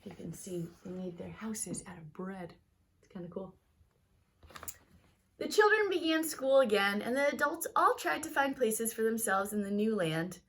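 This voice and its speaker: Higher-voiced woman reading a children's story. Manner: expressive storytelling with brief explanatory asides to young listeners. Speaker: read-aloud storyteller. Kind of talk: expressive picture-book read-aloud for children.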